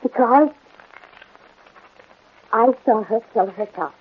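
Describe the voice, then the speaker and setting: A guilt-ridden, vengeful woman in a 1940s radio melodrama. Her high voice confesses in halting, emotional phrases, through the narrow, muffled sound of an old broadcast. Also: slightly rough. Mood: distraught, confessing. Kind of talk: 1940s radio crime drama acting.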